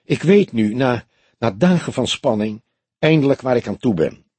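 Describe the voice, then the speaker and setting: A man's voice: medium pitch, expressive, normal speed, darkish tone, slightly rough, no room sound. A man voicing a composed, proud and stern newly widowed woman in an audiobook crime story. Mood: cool, hard.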